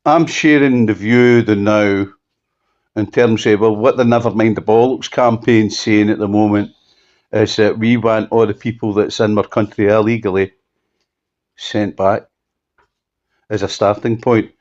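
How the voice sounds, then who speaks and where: Low-voiced Scottish man on a dull phone line. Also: fairly even intonation, a little mellow. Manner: halting, repetitive, emphatic restatements. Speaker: campaigner being interviewed. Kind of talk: unscripted phone interview. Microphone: telephone.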